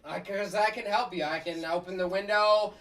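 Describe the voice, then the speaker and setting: A woman voicing a gruff, excitable, boastful male puppet in a sitcom table read, with blustery exclamations. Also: medium pitch, normal speed, far from the mic, natural voice. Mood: gruff, wry.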